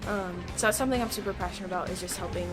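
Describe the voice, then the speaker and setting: A woman's voice: lowish voice, rapid-fire, over music. A teenage young woman, a student leader, giving an informal testimonial.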